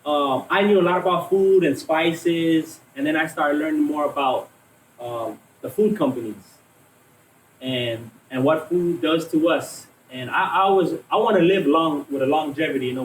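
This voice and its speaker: A man in his thirties tells his story in a loose, rambling way, full of fillers and restarts. His voice sits a little high for a man. He was recorded from a distance in an echoey room, with faint noise behind him. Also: normal speed. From Hawaii, raised in Las Vegas. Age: 30s. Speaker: vegan cook and guest. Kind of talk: casual storytelling.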